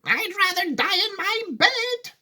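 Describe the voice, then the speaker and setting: A man voicing a cranky, dramatic old grandmother in a storybook, complaining in indignant, drawn-out exclamations. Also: extremely high pitch, very animated, pushed and bright, pitched above the performer's own voice, heavily acted. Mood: defiant.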